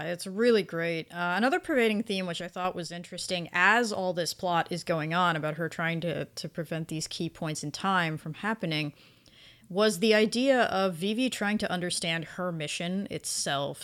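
A woman's voice: fairly low pitch, a bit bright. A woman co-hosting a podcast, talking casually and emphatically as she gives enthusiastic reviews. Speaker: podcast co-host reviewing anime.

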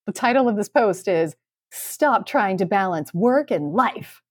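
A woman's voice: medium pitch, expressive, a little slow, slightly rough, no room sound, clean studio sound. A woman co-hosting a podcast in casual comedic chat, talking in a sarcastic, emphatic way. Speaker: podcast co-host.

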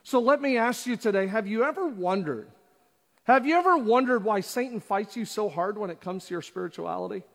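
A man's voice: high voice, expressive, brisk, gravelly, fairly bright tone. A man preaching a sermon as pastor, asking the audience repeated rhetorical questions and acting out quotes. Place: church auditorium. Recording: long hall reverb.

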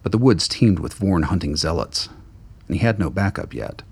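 A man's voice: deep voice, animated, fairly quick, a little mellow, slightly rough. A man narrating a scripted radio drama in storytelling prose.

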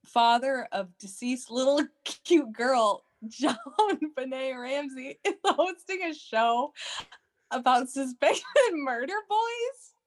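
A woman's voice: high voice, expressive, takes it slowly. A woman playing a nosy, gossipy teenage girl at a sleepover in an improvised comedy sketch.

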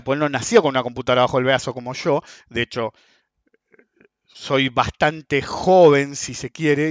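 A man's voice: fairly high pitch, no echo. A man in his forties with a Rioplatense Spanish accent, ranting bluntly and crudely in a casual monologue about markets and keeps asking "ok?". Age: 40s. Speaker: market commentator. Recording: dull dry lo-fi sound.

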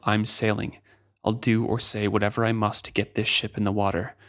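A man's voice: fairly low pitch, little pitch movement, brisk, a bit bright. A man voicing a fierce, exasperated sea captain in a fantasy storybook, with commanding, emphatic delivery and swearing. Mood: resigned, firm. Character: fierce exasperated ship captain berating his stubborn living ship. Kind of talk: dramatic reading from a fantasy novel.

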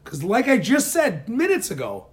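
Sardonic man with an animated, booming voice. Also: moderate pace. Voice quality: booming, projecting voice. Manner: sardonic, argumentative. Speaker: podcast guest. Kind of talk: casual chat. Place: garage. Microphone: handheld phone, often held away.

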